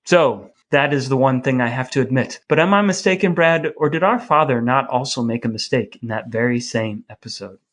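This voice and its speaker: A man's voice: medium pitch, fairly quick, hardly any pauses, fairly bright tone. An American man co-hosting a podcast in a wry, mock-formal, wordy way, mixing scripted banter with introductions. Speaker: podcast co-host.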